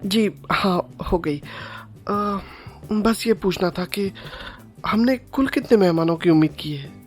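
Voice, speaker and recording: Playful young Indian bride played by an actress. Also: female voice, mid-range voice, slow pace, faint music behind, natural voice. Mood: polite. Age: young adult. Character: eager, playful, inquisitive young bride-to-be and wife. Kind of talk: Hindi audio drama acting.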